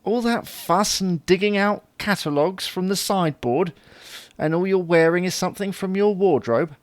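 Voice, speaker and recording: A man's voice: high voice, moderate pace, no room sound, natural voice. A man playing a mild, baffled, henpecked husband with a dry, grudging manner in a domestic audio sitcom. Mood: put-upon, sarcastic.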